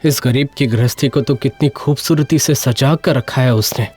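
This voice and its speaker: A man voicing an affectionate, easygoing young husband from a poor household in an animated moral story, speaking tenderly and earnestly. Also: mid-range voice, normal speed, breathy edge, faint music behind, close to an everyday voice. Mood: affectionate, teasing.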